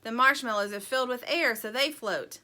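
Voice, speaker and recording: A woman's voice: on the high side, brisk, bright, forceful tone. A woman voicing a self-assured, argumentative young female chicken in a storybook, emphatic and dismissive. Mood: matter-of-fact. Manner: emphatic, dismissive, know-it-all.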